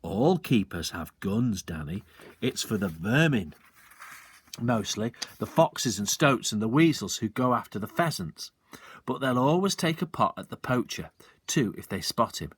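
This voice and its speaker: A man's voice: lowish voice, very animated, a little slow, breathy edge, heavily acted. A man voicing a mischievous, secretive father while reading a storybook aloud.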